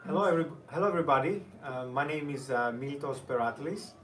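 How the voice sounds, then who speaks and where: A man's voice: on the high side, fast talker, fairly bright tone. Distant, noisy recording of a Greek-accented man. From Greece. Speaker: high school and middle school teacher. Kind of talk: informal testimonial talk. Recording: distant mic with clear background noise.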